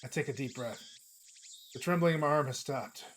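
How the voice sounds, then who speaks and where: A hoarse man streaming, reading a visual novel aloud in a casual, chatty way with small slips. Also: mid-range voice, fairly quick, a little hiss or hum. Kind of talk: narrating a visual novel live.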